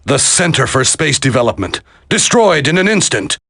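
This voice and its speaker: A man playing an irascible middle-aged government secretary in a video game, raspy and curt, barking orders. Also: mid-range voice, big pitch swings, normal speed, pushed and bright, no room sound, studio quality, exaggerated voice. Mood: shocked, urgent. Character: irascible senior government secretary, commanding and blustering.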